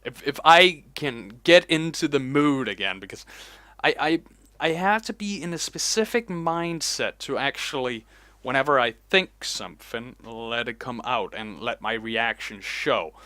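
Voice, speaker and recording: Guy rambling thru his vlog at his computer, takes his time, smooth clear voice. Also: medium pitch, animated, bright, forceful tone, no room sound. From Denmark. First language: Danish. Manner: rambling, unhurried, chatty. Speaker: host. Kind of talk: casual reaction vlog commentary. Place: home, alone at a computer.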